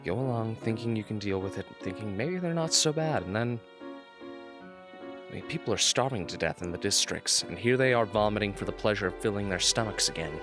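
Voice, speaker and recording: A man's voice: lowish voice, expressive, fairly quick, natural voice. A man playing an earnest, troubled young fiancé in an amateur radio drama. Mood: disgusted, troubled. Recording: music behind.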